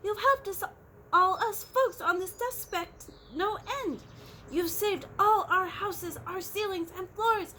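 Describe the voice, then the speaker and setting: A woman voicing a tiny, cheerful male town mayor in a storybook, with a piping, squeaky voice. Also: takes it slowly, bright, forceful tone, slight background noise, heavily acted. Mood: grateful. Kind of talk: storybook reading aloud.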